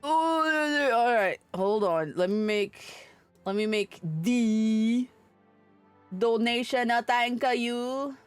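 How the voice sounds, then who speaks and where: A woman's voice: a little high, extremely slow, clear, resonant tone. A woman livestreaming a game, chatting irreverently with teasing and swearing. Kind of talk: livestream chat and reading game dialogue aloud. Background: game music.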